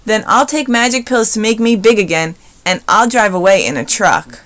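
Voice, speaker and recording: A woman's voice: mid-range voice, normal speed, a bit bright, pitched above the performer's own voice, lightly acted. Woman as an earnest, imaginative little boy. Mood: plucky.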